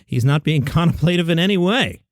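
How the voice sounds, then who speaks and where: A man's voice: a little high, expressive, a little fast, no room sound. A man hosting a comedy news podcast, reading headlines with dry offhand asides, his voice a little worn. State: slightly worn voice.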